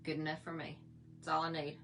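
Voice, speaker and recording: A middle-aged woman talks through her makeup routine in a chatty, folksy, rambling way. Her voice sits a little low and sounds slightly hoarse. A faint hum runs under the recording. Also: little pitch movement, quick pace, fairly bright tone. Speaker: makeup vlogger. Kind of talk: casual makeup tutorial chat.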